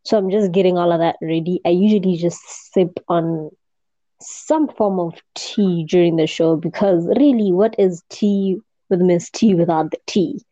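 A woman hosting a casual podcast chat, unhurried, often murmuring soft agreement while her guest talks. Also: on the low side, fairly even intonation. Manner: unhurried, lots of soft "mm" backchannels. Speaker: podcast host. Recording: dull lo-fi podcast audio.

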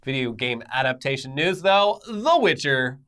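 A man's voice: medium pitch, lively intonation, normal speed, sharp tone, smooth. A man co-hosting an entertainment news show with conversational commentary and wry, sardonic asides.

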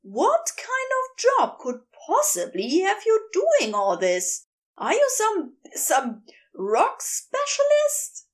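Woman as fretful gentleman teacher, high lifted voice, animated, prim, wordy, storybook character. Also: takes it slowly, heavily acted. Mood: indignant, flustered. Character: fussy, indignant, excitable man, prim schoolteacher.

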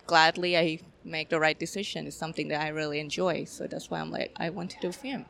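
A young woman from Thailand with a Thai accent, a film director answering interview questions in a halting, casual way with many fillers. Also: sits low, steady tone, vocal fry, fairly bright tone, slight background noise.

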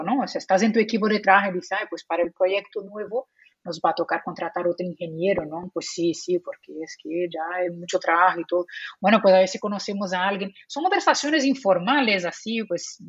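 Brazilian Portuguese-accented woman, lowish husky voice, dry muffled call audio, chatty explainer. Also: no room sound. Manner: explanatory, laughs easily, many fillers. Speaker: guest, remote work expert. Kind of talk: casual interview talk.

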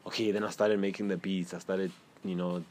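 A young man with a South African accent and a very deep, low voice talks loosely and casually as a podcast host, trailing off and circling back as he goes. A faint hiss of noise sits under the recording. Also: brisk. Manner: relaxed, rambling, slang-heavy. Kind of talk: casual interview chat.

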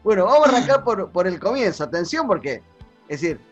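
A man with a Rioplatense Spanish accent hosting a business podcast interview, talking in an excited, emphatic way. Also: sits high, lo-fi sound, faint music behind. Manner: excited, emphatic, rapid asides. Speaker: podcast host.

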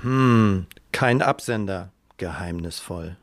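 A man playing an earnest, puzzled school principal in an amateur radio play, with deliberate, formal phrasing. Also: deep voice, no room sound. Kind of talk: amateur radio drama acting.

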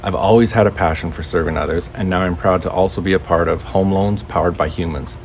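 A man's voice: low-pitched, steady tone, fast talker, slight background noise. An American man, a podcast host, delivering a scripted promotional message addressed straight to listeners. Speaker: podcast host, coach, loan originator.

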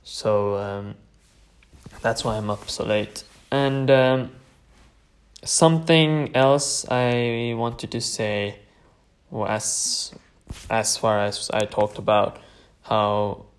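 A man in his late teens from Norway, tired late at night, rambling hesitantly as he tells his own story on a solo podcast. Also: fairly low pitch. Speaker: podcast host.

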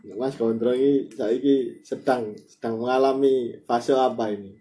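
A man with an East Javanese accent hosts a loose, casual podcast chat. His voice is mellow and sits at an ordinary male pitch. He is playful, likes to repeat phrases and drops in plenty of slang. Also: fairly even intonation, normal speed. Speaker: podcast host.

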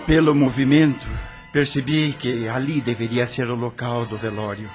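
An actor playing a dead rock star in a radio drama, tormented and regretful, with a solemn, theatrical Brazilian delivery. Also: male voice, medium pitch, steady tone, normal speed, a loud crowd, close to an everyday voice. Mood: anguished. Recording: music under the voice.

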